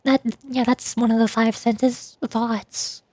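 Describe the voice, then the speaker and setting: A woman's voice: on the high side, level tone, slow pace, darkish tone, no room sound. A woman streamer giving casual commentary on a game she is playing, close to the microphone. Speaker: streamer and VTuber.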